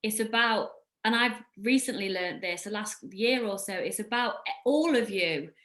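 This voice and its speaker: A woman's voice: mid-range voice, normal speed, fairly bright tone. A woman hosting a podcast, speaking earnestly and reflectively in casual conversation. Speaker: podcast host.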